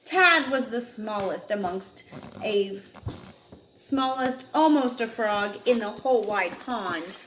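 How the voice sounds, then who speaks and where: A woman's voice: mid-range voice, lively intonation, takes it slowly, fairly bright tone, reverberant, a little hiss or hum, rough recording. A woman reading a picture book aloud expressively, as a storyteller to a child, with dramatic emphasis on key words.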